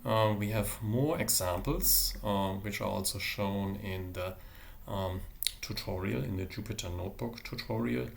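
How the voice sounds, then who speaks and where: Man, university lecturer, low voice, gravelly fry, hesitant explanatory delivery, technical live demo. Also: a little slow. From Germany. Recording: roomy echo, faint background noise.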